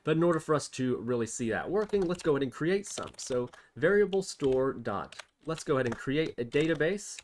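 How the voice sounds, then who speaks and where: A man's voice: fairly high pitch, sing-song, a little fast, few pauses, a little hiss or hum. A man hosting an unscripted programming tutorial, thinking aloud step by step as he types. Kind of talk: unscripted screen-recorded coding tutorial.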